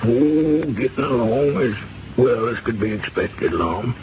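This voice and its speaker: An actor playing a kindly, amiable American country doctor in a 1930s radio drama. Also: male voice, mid-range voice, animated, slow. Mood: reassuring. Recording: noisy, muffled 1930s radio recording.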